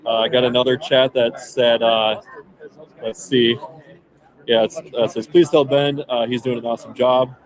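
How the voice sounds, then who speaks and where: A man's voice: mid-range voice, steady tone, moderate pace, rough recording. A man running a casual livestream on his phone, chatting and reading viewer comments aloud. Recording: crowd chatter behind.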